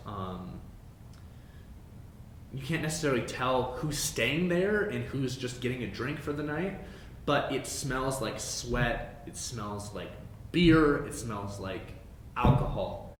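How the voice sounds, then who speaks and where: Halting male game master in echoey room. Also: animated. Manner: halting, pausing to think up details. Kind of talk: improvised tabletop game narration. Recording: echoey room with faint rumbling noise.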